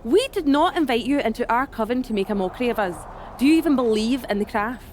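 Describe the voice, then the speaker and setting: Woman acting a scornful witch, lively pitch. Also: fast, slight background noise, close to an everyday voice. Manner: sardonic, scolding. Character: scornful, exasperated leader of a witch coven of wrestlers. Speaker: voice actor in a video game cutscene. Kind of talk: video game acting.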